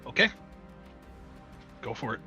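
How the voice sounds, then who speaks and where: A man's voice: a little slow, sharp tone, lo-fi sound, faint music behind. A man acting as game master, casually explaining rules and narrating a tabletop game.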